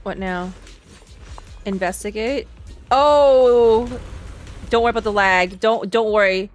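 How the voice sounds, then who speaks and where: A woman's voice: medium pitch, slow pace. A chatty woman streamer with a mid-range voice comments casually on a game. She is playful and repeats short phrases, with music in the background.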